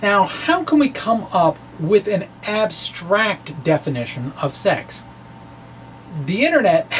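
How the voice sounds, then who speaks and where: A man giving an informal, halting reading of his science blog, with wry conversational asides. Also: sits high. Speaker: science blogger and presenter. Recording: distant mic with faint background noise.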